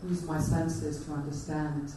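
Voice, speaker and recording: Icelandic woman giving a talk, mellow voice, lots of ums, echoey hall, sounds far off from the mic. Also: low-pitched, little pitch movement, measured pace, slight background noise. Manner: hesitant, many fillers and restarts. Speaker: conference speaker. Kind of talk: presentation talk. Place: large conference hall.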